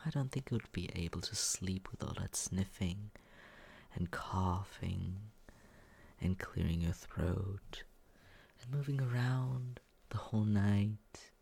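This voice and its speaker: A performer voicing a smug, mocking young male roommate in an ASMR roleplay, with a breathy, husky voice that often slips into a whisper and a condescending, taunting drawl. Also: low voice, slow pace, darkish tone, exaggerated voice. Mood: disdainful. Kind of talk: ASMR roleplay, slow teasing monologue.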